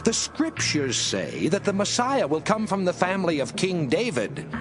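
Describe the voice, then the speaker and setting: A male actor voices a doubtful objector in a Bible drama, questioning what he hears. His voice sits fairly high and swoops dramatically up and down. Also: huge pitch swings, moderate pace, music in the background. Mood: doubting. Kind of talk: audio Bible drama acting.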